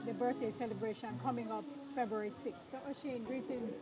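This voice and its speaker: A woman's voice: on the high side, steady tone, fairly quick, loud music behind the voice. A woman hosting a live outdoor event broadcast, upbeat and prompting her co-host with questions. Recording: crowd noise behind. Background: crowd chatter.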